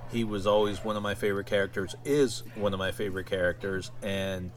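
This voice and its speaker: A man hosting a podcast alone in a casual, conversational style, with somewhat halting phrasing. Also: lowish voice, level tone. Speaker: podcast host. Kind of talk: casual solo podcast talk.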